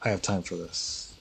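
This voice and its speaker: A man's voice: medium pitch, gravelly, pushed and bright, slightly rough. A man livestreaming a video game with casual, offhand commentary, muttering and repeating words.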